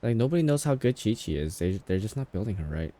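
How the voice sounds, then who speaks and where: Male streamer, casual gameplay commentary, very low and dark-toned. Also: very animated, quick pace. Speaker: game streamer. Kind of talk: casual live gameplay commentary, reading along.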